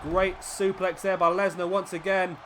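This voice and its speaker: A man, sniffly with a cold, commentating a wrestling video game play by play and getting excited at big moves. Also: high voice, normal speed, heavy background noise.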